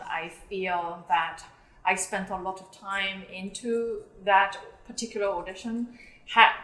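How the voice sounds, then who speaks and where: This is a young woman, born in South Korea and raised in England, who talks earnestly about playing bass in an orchestra. Her voice sits at a middle pitch, and she speaks with easy pauses and fillers. The room around her has a clear echo. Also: fairly bright tone. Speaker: orchestral bassist. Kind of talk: interview talk. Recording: roomy echo.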